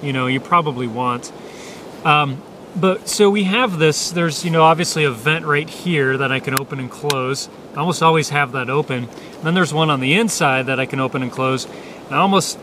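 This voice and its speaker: A man in his thirties with a slightly high voice talks through gear in a loose, unscripted review. He meanders and hesitates as he explains. It is recorded outdoors on a camera, with clear background noise. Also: moderate pace, bright, forceful tone. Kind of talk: casual, unscripted product review. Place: outdoors in dry grass by parked motorcycles.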